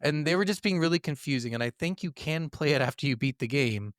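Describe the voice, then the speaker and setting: A man's voice: a little high, animated, fast, no room sound, studio quality. A man co-hosting a casual gaming podcast, blunt and opinionated, with frequent swearing. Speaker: podcast co-host.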